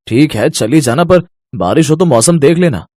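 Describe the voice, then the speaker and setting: A man voicing a practical, mildly resigned young husband in a cartoon story, reasoning calmly. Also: fairly high pitch, moderate pace, dry recording, studio quality. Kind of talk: Hindi cartoon story voice acting.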